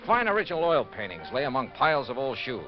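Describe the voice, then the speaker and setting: An actor playing a brisk, wry, impatient insurance investigator, clipped and dry, in the style of 1950s radio detective drama and narration. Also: male voice, mid-range voice, sing-song, natural voice. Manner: clipped, dry, exasperated with others. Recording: muffled old radio recording. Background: music.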